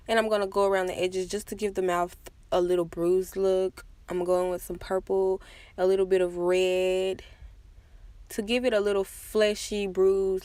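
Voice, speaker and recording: A woman's voice: medium pitch, a little mellow, no echo. A woman giving a casual, chatty makeup tutorial voice-over with self-deprecating asides. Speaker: makeup vlogger.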